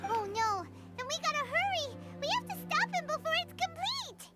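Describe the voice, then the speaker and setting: Game casting: tiny excitable fairy sidekick, extremely high squeaky child voice, very animated, music behind. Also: female voice, moderate pace, full character voice. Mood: alarmed. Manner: refers to self in third person. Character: small childlike floating fairy companion, naive and excitable. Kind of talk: video game voice acting.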